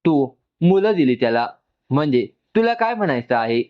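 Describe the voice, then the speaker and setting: A man's voice: on the high side, big pitch swings, moderate pace, muffled recording. A man voicing a shocked, angry mother of grown daughters, asking demanding questions in a narrated story. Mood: shocked anger.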